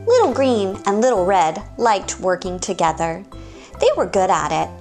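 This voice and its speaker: A woman hosting story time, reading aloud to young children in an expressive, deliberate storytelling manner. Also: mid-range voice, faint music behind.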